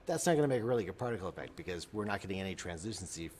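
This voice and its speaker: A man explaining software step by step in an unscripted tutorial, often repeating and rephrasing himself as he goes. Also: deep voice, very animated, racing, a little hiss or hum.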